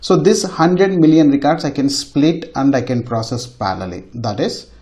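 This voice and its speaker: A man's voice: mid-range voice, normal speed, dark tone. A man giving an informal technical lecture as a tutorial instructor, often repeating his phrases for emphasis. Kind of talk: informal technical lecture over a screen demonstration.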